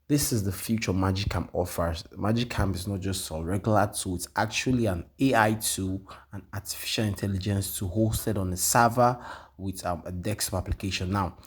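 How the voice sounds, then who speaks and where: A man with a very low, slightly husky voice talks viewers through a software demo. He repeats himself often and checks in with "okay?" after nearly every point, filling pauses with "um.". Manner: repetitive, frequent "okay?" and "um" fillers. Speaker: tutorial presenter. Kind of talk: screen-share software walkthrough.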